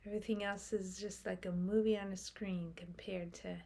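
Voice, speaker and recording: A woman leading a guided meditation in a soft, breathy voice, speaking gently in short phrases with long pauses. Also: mid-range voice, level tone. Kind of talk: guided meditation, slow unfolding instruction.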